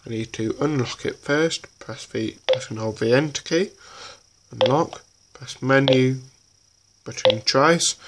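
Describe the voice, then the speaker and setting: A man giving an informal hands-on tutorial in a halting, repetitive way, often checking in as he goes. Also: medium pitch, a bit bright. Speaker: presenter of a tutorial. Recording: noisy homemade recording.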